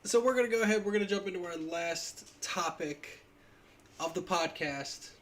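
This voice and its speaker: A man's voice: sits high, normal speed, a bit bright, a little hiss or hum. Young-sounding man hosting a loose podcast. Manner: loose, joking segue-style hosting. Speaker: podcast host. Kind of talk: casual podcast chat.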